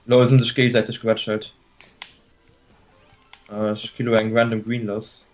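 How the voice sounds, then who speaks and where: A man's voice: lowish voice, lo-fi sound, faint music behind. A man chatting casually with teammates during an online race, calling out plays and laughing often. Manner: excited, laughing, quick game callouts. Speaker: team player.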